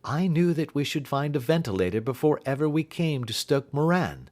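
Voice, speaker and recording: A man voicing a keen, assured detective in an audiobook, explaining things precisely and deliberately. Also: a little high, sing-song, darkish tone, voice pushed up. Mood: keen, reasoning. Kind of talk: audiobook character dialogue.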